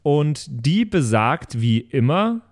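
A man's voice: on the high side, very animated, slow. A man talking casually as a player in a tabletop role-playing game.

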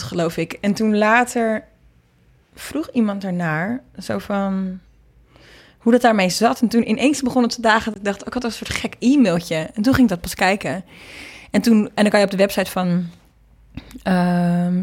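A woman's voice: mid-range voice, a little mellow. A woman from the Netherlands, being interviewed and explaining things informally, thinking aloud as she goes. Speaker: interviewed singer and producer. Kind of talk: casual interview conversation.

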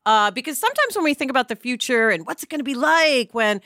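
Bright, high-voiced woman chatting candidly. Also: very animated, fast talker, no room sound, clean studio sound. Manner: candid, informal, emphatic. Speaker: podcast panelist. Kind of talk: casual conversational discussion.

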